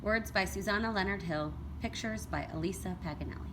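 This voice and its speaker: A woman reading a children's picture book aloud as a teacher would, in an expressive, deliberate storytelling manner. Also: mid-range voice. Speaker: elementary school read-aloud teacher. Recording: clearly noisy background.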